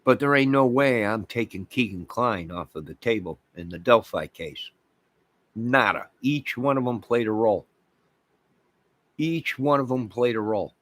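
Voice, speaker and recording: A man's voice: mid-range voice, moderate pace, a bit bright, no echo. A man with an American accent hosting an online channel, giving an opinionated, emphatic rant to his viewers and often repeating phrases for weight. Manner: emphatic, repeats phrases, mocks opposing views sarcastically. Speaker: online video channel host.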